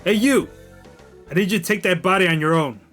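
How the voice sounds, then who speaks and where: A man playing a gruff, brusque Victorian police inspector in an audio drama, with music behind him. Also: high-pitched, fairly quick, fairly bright tone. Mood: gruff, irritated. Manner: brusque, dismissive. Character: gruff, impatient, bigoted male Victorian London police inspector.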